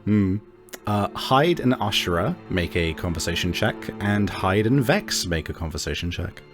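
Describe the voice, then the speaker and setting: A man narrating a tabletop game as game master, in halting, deliberate phrases. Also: low voice, very animated, music in the background.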